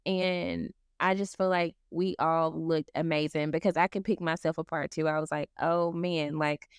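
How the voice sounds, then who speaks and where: Supportive woman co-host, low-ish smooth voice, reflective delivery. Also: little pitch movement, normal speed, dry recording, studio quality. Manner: encouraging, reflective. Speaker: podcast co-host. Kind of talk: casual chat.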